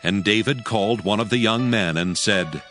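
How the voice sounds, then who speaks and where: A man narrating scripture in a steady, measured storytelling manner. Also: on the low side. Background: faint background music.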